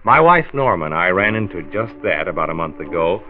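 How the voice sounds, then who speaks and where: A man's voice: sits low, very animated, a little fast, soft background music. An American man playing a genial, folksy county health commissioner in 1940s radio drama style. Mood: measured, earnest. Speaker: narrator and lead actor of a health radio serial. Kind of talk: 1940s radio acting and narration. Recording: dull vintage 1940s radio transcription.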